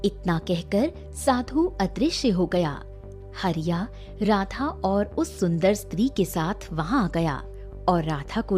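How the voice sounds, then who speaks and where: A woman's voice: on the low side, a little mellow. A woman giving scripted narration of a moral folk tale as the storyteller.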